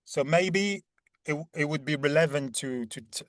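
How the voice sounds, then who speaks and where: A man's voice: a little high, normal speed, dry recording. French-accented man in his thirties, dry sound. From Paris, France. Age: 30s. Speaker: interview guest, head of talent acquisition. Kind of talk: conversational explanation in an interview.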